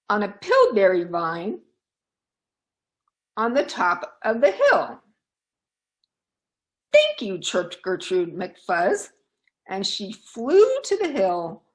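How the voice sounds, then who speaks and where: A woman storyteller reading aloud for children in a dramatic, deliberate, expressive way. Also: mid-range voice.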